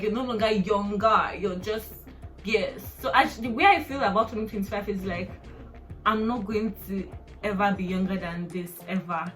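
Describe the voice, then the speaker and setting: Young Nigerian woman, mid-pitched, rambling chatty vlogger, often laughing; faint music underneath. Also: a bit bright. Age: 20s. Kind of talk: casual personal vlog.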